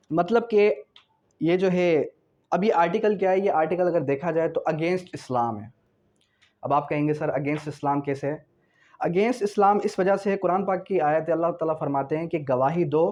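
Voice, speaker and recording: A man's voice: a little high, darkish tone. A man with a Pakistani accent giving an explanatory law lecture, mixing in English and asking questions that he then answers himself. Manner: didactic, asks and answers own questions, slows to read out clauses.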